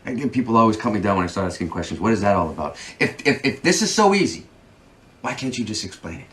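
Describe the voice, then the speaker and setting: A man's voice: lowish voice, very animated, quick pace, fairly bright tone. An actor playing an opinionated, prickly man who questions and challenges people with sarcasm, in a naturalistic drama. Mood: needling.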